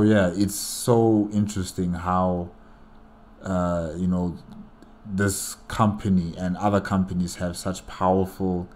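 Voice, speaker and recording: A man hosts a casual reaction video. His voice is fairly low and his pace is slow. He comments off the cuff in a reflective, halting way. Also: a little mellow, a little hiss or hum.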